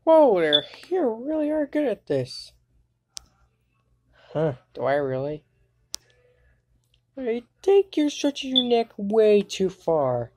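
A woman voicing an exasperated, excitable anime cartoon character in an amateur fandub. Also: fairly high pitch, takes it slowly, pauses often, full character voice. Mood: amazed.

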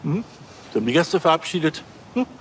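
A self-satisfied, fussy male police officer from a TV crime comedy. He talks fast in a highish voice, over light background noise. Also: very animated. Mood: smug. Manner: fussy, ends phrases with questioning tags.

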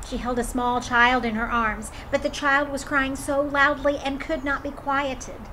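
High-voiced woman reading a folktale. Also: little pitch movement, measured pace, sharp tone, slight background noise, poor audio. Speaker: drama storyteller. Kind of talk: expressive children's folktale reading.

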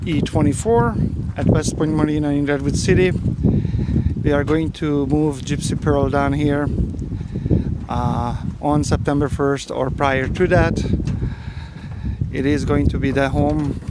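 A man casually narrating a walking tour of a marina, talking slowly with frequent fillers, recorded outdoors on a handheld phone. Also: a little high, steady tone. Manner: slow, halting, with frequent fillers. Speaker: sailboat owner vlogger. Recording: loud wind noise outdoors.

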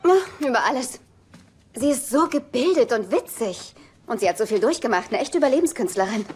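Woman acting an earnest, highish-voiced fiancée. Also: expressive, a little fast, darkish tone, lightly acted. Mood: admiring. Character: sincere, exasperated fiancée defending her future mother-in-law. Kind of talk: German-dubbed sitcom dialogue. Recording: noisy TV soundtrack.

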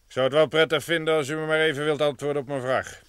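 An actor playing a brusque, officious police constable in an old Dutch radio play. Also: male voice, a little high, steady tone, fast, a bit bright, clear, resonant tone, no room sound, lightly acted. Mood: stern, official.

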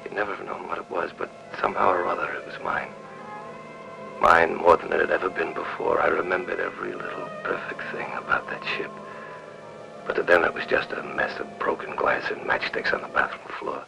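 An actor playing a bedridden, menacingly possessive husband in a 1950s film melodrama, speaking slowly and haltingly in a hush. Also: male voice, sits low, steady tone, gravelly, poor audio. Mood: brooding, menacing. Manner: slow, halting, hushed, often near a whisper. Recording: old film soundtrack, music behind.

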